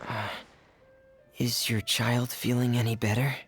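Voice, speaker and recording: An actor voicing a shy, gentle, dreamy teenage boy in a video game, speaking softly and earnestly with some hesitation. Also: mid-range voice, level tone, a little slow, faint music behind, natural voice. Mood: polite. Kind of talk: video game character acting.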